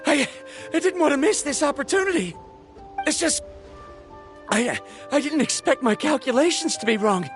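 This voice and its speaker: A woman's voice: sits high, lively intonation, moderate pace. An actress voicing an earnest young woman who hesitates and stammers while apologizing, in a video game. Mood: apologetic. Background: game music.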